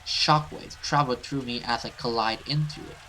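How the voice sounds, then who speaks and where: A man's voice: mid-range voice, steady tone, moderate pace, bright, forceful tone, a little hiss or hum, poor audio. A man hosting a let's play, reading a horror visual novel aloud and stumbling over some words as he goes.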